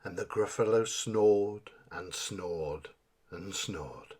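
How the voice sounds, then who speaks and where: A man's voice: deep voice, slow pace, a little mellow, breathy edge. A man reading a rhyming children's story as a dramatic narrator. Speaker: storybook reader.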